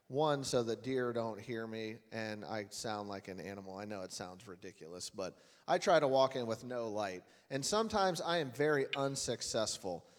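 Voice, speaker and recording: Earnest man praying in a reverberant church. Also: medium pitch. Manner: earnest, plain-spoken, with frequent uhs. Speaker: lay worship leader. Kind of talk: spoken prayer and church announcements. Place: church sanctuary. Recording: long church-hall reverb with faint music.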